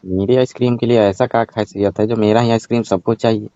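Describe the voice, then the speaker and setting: A man's voice: fairly low pitch, fairly even intonation, fast, mellow, dry recording, lightly acted. A man playing a cheeky, money-minded village ice-cream seller in a staged phone-call skit, matter-of-fact, teasing and evasive. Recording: dull, lo-fi call recording.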